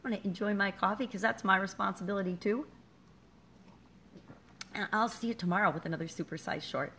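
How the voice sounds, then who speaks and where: A woman's voice: medium pitch, fairly quick, a bit bright, a little hiss or hum. Middle-aged woman, business-coach vlogger, candid and self-deprecating, conversational. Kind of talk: casual motivational talk to camera.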